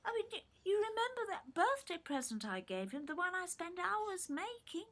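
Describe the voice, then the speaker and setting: An actress playing a hurt, resentful grown daughter in an audio play, with an English accent and an emotional, pleading manner. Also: female voice, very high voice, sing-song, normal speed, fairly bright tone, full character voice. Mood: wounded. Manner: emotional, pleading, bitter emphasis. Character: hurt, resentful grown daughter, long overlooked little sister.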